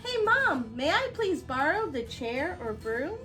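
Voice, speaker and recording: A polite little pirate boy from a storybook, acted by a woman reading aloud. The voice is very high and sing-song lively, sweet and courteous, with music playing faintly behind it. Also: measured pace, full character voice. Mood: sweetly polite. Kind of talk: children's storybook read-aloud.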